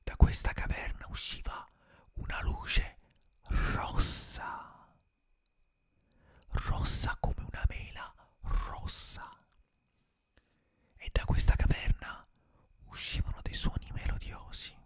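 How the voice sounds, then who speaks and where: A young man whispering a bedtime fairy tale for ASMR, close to a studio microphone in a small room. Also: no echo. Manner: slow unvoiced whisper, drawn-out pauses. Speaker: ASMR streamer. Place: small dim room with colored string lights. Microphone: close studio mic, earphones.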